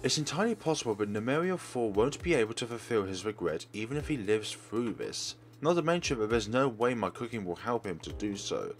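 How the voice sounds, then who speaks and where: A man's voice: lowish voice, lively intonation, a little fast, few pauses, faint music behind. A man reading a visual novel's text aloud expressively as a let's player, in a formal manner with small stumbles. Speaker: let's play streamer. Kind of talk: dramatic read-aloud of game text.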